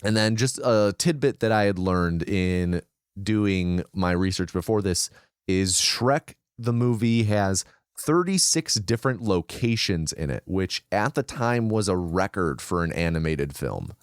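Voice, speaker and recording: A man's voice: low-pitched, expressive, a little slow, clean tone, no room sound, clean studio sound. A man co-hosting a casual film podcast, relaying researched behind-the-scenes trivia with frequent fillers and restarts. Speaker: podcast co-host.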